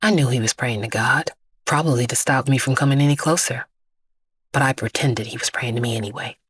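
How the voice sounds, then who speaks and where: An actress playing an immortal vigilante woman, weary, haunted and defiant, telling her story in an intense, measured audio drama monologue with hushed drops. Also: rumbling low, breathy edge, no echo, studio quality. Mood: somber, resolute.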